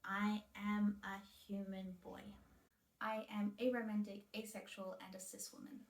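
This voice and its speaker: Soft-spoken woman in her twenties. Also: medium pitch, flat delivery, measured pace, creaky. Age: 20s. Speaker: video creator. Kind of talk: interview-style self-introductions.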